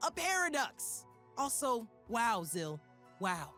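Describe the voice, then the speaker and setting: An actor playing a cocky, warm, street-smart teenage boy inventor in a video game, with playful, breezy slang. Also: mid-range voice, sing-song, slow, soft background music, full character voice.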